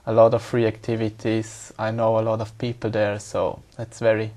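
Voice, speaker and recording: A man's voice: lowish voice, monotone, moderate pace, darkish tone. A young man from Switzerland, answering an exam speaking test in careful, hesitant English and often repeating words as he searches for them. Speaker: exam candidate.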